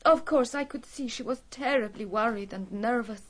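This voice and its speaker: An actress playing a highly strung, theatrical married woman in a radio drama, emotional and defensive. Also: moderate pace, close to an everyday voice. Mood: defensive, nervous.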